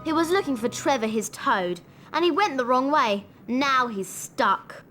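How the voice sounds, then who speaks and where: A woman with a British accent playing a brisk, bossy, helpful schoolgirl witch in a video game. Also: high voice, expressive, sharp tone, soft background music, heavily acted. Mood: urgent, instructive. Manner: brisk, instructive.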